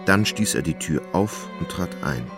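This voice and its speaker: Very deep, gravelly male narrator. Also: lively intonation, normal speed. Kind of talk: literary radio drama narration. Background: music.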